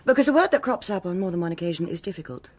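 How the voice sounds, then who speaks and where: An actress playing a cool, exacting police superintendent in a radio drama, with a formal, cutting, authoritative manner. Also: female voice, on the low side, lively intonation, racing. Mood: probing, disapproving.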